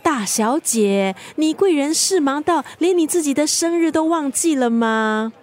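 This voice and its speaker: An actress with a Singaporean accent playing a nosy, bossy best friend in a naturalistic radio drama, speaking in a playful, probing, wry way. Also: female voice, sits high, expressive, a little slow, a little mellow. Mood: bossy, caring.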